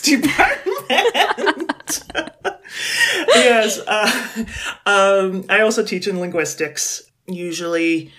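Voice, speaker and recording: A woman's voice: low-pitched, a bit bright. A woman in her forties, a university professor talking in a podcast interview, chatty and self-deprecating, laughing often. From Canada. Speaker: university professor and program director.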